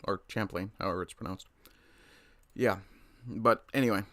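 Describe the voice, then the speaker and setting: Male streamer host, blunt and profane, bright forceful tone, dry close mic. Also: on the low side, moderate pace, no room sound. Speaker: livestream commentator. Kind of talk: casual political commentary, reading chat.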